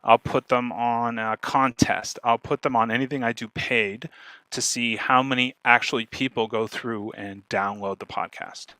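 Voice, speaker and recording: A man's voice: medium pitch, steady tone, normal speed, pushed and bright, no room sound, low-quality recording. A man presenting informally online as a guest speaker, explaining in an emphatic, conversational way. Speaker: guest speaker giving a marketing talk.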